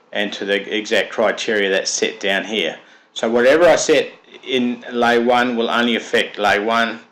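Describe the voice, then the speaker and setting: Guy with a cold, sounds a bit froggy, plain mid-pitch voice, walking thru software tabs one by one. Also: low-quality recording. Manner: methodical, step-by-step explaining. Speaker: software developer presenting his product. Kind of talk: screen-recorded software walkthrough.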